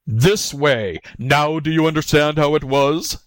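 A man voicing a savage storybook tiger, gruff, scornful and impatient. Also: mid-range voice, expressive, slow, dry recording, clean studio sound. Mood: impatient, angry. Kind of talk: storybook character voice.

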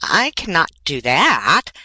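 A woman's voice: low voice, wildly swooping pitch, slow pace, sharp tone, husky, no echo, exaggerated voice. A woman voicing a small, kind-hearted male swallow in a storybook, earnest, eager and pleading. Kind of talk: storybook character voice.

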